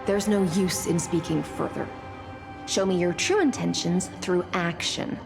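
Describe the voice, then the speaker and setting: An actress playing a regal, cool sea-born warrior in a game, speaking in a haughty, composed and formal way. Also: female voice, on the low side, a little slow, creaky, music in the background. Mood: commanding. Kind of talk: dramatic game dialogue.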